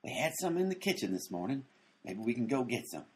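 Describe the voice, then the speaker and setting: A man's voice: mid-range voice, big pitch swings, brisk, close to an everyday voice. A man playing a dim-witted, unbothered sandwich maker in a radio comedy, speaking in a folksy, matter-of-fact way. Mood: obtuse, unbothered.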